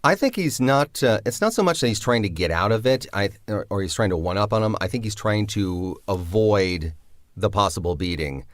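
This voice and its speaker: A man's voice: on the low side, animated, moderate pace. A man, the writer of a drama, answers interview questions reflectively and hesitantly, with many fillers.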